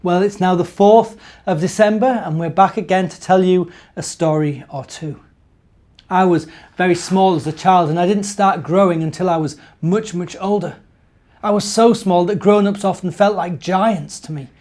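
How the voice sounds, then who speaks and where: A man's voice: high voice, normal speed, darkish tone. A man speaking as a minister at story time, reading and chatting simply to young children. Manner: asks the young listeners questions. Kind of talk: children's story time, narration and gentle talk.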